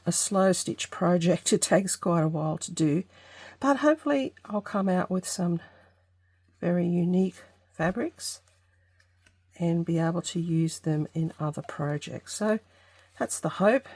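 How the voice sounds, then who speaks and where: A woman with a slightly low, congested voice hosts a relaxed craft tutorial. She speaks unhurriedly and tentatively, thinking aloud as she goes. The sound is dry, with no room echo. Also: unhurried. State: congested from allergy. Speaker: craft channel host. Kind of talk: casual craft tutorial vlog.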